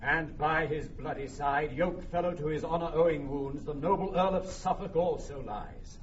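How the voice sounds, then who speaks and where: An actor playing a loyal, tender English noble soldier, delivering verse in a lyrical, emotive classical Shakespearean radio manner. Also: male voice, on the high side, moderate pace, natural voice. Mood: grieving, tender. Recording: distant, dull, noisy archival radio recording.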